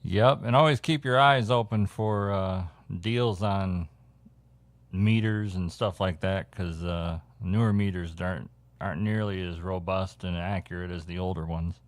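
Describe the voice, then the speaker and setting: Very low-voiced man, easygoing radio chat. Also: steady tone, a little slow. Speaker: CB radio hobbyist. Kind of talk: casual radio chat with explanations.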